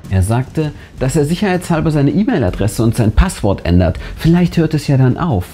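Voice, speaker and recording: A man narrates a scripted horror tale with a dramatic storyteller's delivery. His voice is dark and relaxed, yet the pitch moves in a lively way. Faint music plays behind him as he leaves suspenseful pauses. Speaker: narrator and channel host. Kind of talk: scripted narration of a first-person horror story, read aloud. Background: background music.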